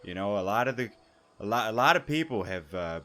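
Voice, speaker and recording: A man giving casual let's-play commentary, rambling and halting, with a joking manner. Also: lowish voice, animated, sharp tone. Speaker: gaming YouTuber. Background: game music.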